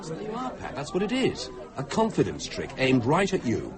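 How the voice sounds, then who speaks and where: A bossy protective british husband in a radio play, fed up, voice leaping up and down. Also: male voice, fairly high pitch, huge pitch swings, normal speed, crowd noise. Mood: insistent. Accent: British English. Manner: insistent, exasperated, interrupting. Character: protective, irritable husband, forceful and evasive. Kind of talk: British radio drama acting.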